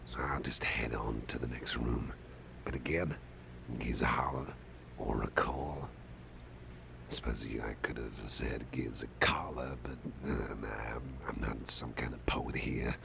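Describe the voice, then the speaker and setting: A man playing a doting, soft-hearted older boss in an ASMR roleplay, speaking gently and reassuringly in a gravelly, breathy half-whisper. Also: extremely low pitch, fairly even intonation, noisy background, full character voice. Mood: soothing. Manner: slow, gentle, reassuring, rambling. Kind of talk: ASMR roleplay, softly spoken to the listener.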